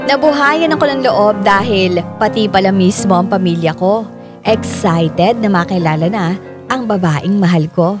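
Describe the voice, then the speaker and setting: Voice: medium pitch, normal speed, over music, natural voice. A narrator giving a scripted first-person account in a Filipino radio drama.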